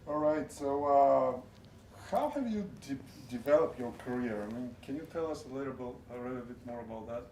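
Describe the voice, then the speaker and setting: A man's voice: mid-range voice, lively intonation, normal speed, mellow, far from the mic, a little hiss or hum. A man interviewing a musician, asking short questions with hesitant restarts. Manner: brief reactions, hesitant restarts. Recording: echoey, from off-mic.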